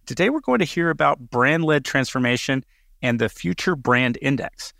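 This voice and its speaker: A man hosting a business podcast in a chatty, enthusiastic way, often stumbling over and repeating his words. His voice is bright and forward. The sound is dry and close. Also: mid-range voice, moderate pace, gravelly, no room sound. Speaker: podcast host. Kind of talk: casual interview hosting.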